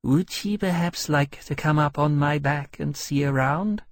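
A man voicing a young male dragon who is earnest, gentle, curious and innocently polite, in a storybook narration. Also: on the high side, a little slow, dry recording, voice pushed up. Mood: gentle interest.

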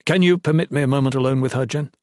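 A man's voice: medium pitch, a little fast, no room sound, studio quality, lightly acted. A man acting a pleading young knightly protector. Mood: urgent pleading. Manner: formal, archaic courtly wording. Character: pleading, distressed young male former protector, contrite and formal.

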